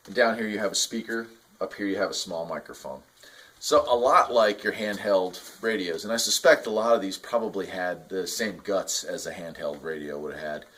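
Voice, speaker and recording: Casting: American male, 40s, low-pitched, matter-of-fact hobbyist host giving a casual product explainer. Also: fairly bright tone. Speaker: host of a radio hobby channel. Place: small indoor radio workshop.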